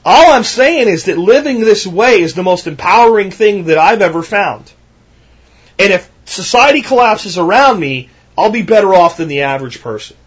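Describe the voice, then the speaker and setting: A man's voice: sits high, lively intonation, normal speed, husky, muffled recording. A man in his thirties hosting a solo podcast, talking in an emphatic, ranting way that repeats points for effect. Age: 30s. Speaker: podcast host.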